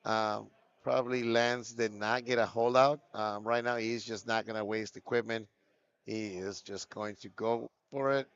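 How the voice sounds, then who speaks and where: A man's voice: lowish voice, steady tone, measured pace, a bit bright, clear, resonant tone, no room sound, lo-fi sound. Chatty male tournament commentator. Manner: chatty, reads out chat names. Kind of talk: live esports commentary.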